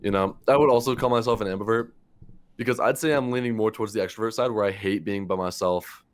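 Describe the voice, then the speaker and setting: Young male podcast co-host, low voice, loose and profane, full of "like" fillers. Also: fast. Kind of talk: casual chat.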